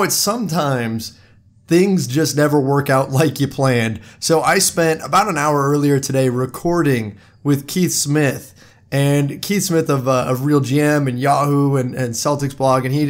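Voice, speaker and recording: A man hosting a solo podcast, talking straight to his listeners in a conversational way, hoarse from a cold. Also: medium pitch, moderate pace. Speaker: podcast host. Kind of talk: solo sports podcast monologue.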